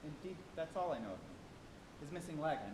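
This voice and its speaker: A man playing a proud, inquisitive merchant sailor new to whaling, with theatrical, old-fashioned stage speech. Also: brisk. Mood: curious, affable. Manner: animated, challenging, archaic stage speech. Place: college auditorium stage, live performance. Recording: echoey, noisy theatre with rumble.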